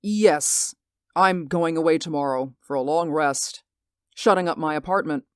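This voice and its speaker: A man's voice: fairly high pitch, a little slow, smooth, no room sound, clean studio sound, natural voice. An actor playing a jittery, obsessed man who is haunted by what he sees in a neighbor's window, in 1940s radio drama style, speaking anxiously with stammers. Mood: uneasy.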